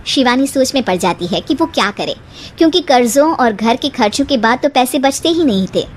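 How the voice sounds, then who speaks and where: High-pitched, expressive woman storyteller. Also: brisk, slight background noise. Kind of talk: scripted moral-story narration.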